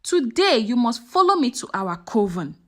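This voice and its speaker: A woman voicing a sinister, angry old witch in a folktale, with a menacing, deliberate delivery. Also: on the high side, sing-song. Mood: cold menace.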